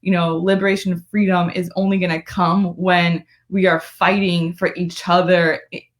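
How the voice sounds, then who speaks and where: A woman's voice: on the low side, little pitch movement, moderate pace. An American woman speaking conversationally as an interview guest, earnest and emphatic, often checking in with "right?". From United States, born in Brooklyn, living in Florida. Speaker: guest, community activist and political strategist. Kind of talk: conversational interview on a live stream.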